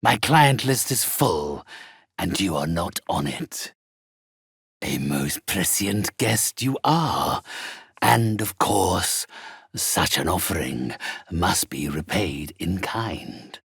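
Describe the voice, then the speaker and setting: Raspy, deep undead necromancer. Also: male voice, lively intonation, a bit bright, dry recording, studio quality, exaggerated voice. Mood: condescending. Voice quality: raspy, hoarse. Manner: pompous, formal, deliberate. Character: ancient undead necromancer, haughty, mercenary, theatrically menacing. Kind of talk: theatrical video game character acting.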